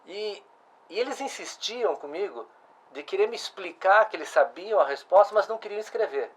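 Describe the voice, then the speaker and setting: A man's voice: sits high, lively intonation, moderate pace, breathy edge, low-quality recording. A middle-aged man, hosting a casual interview and asking follow-up questions. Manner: conversational, restarts and asks follow-up questions. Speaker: host.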